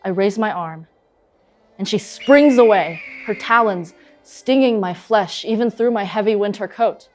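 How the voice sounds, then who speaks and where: A woman's voice: mid-range voice, measured pace, darkish tone, close to an everyday voice. Casting: woman to play young eagle huntress, passionate and proud, mid-range voice, earnest stage storytelling over music. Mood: excited, intense. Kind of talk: dramatic stage monologue, vivid storytelling.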